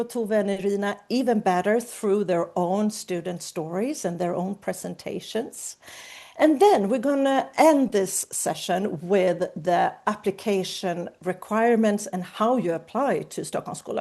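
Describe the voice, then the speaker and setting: A woman presents a webinar over a video call. Her voice is mellow and warm, at a mid pitch. She speaks in a clear, upbeat way as she guides the audience through the session.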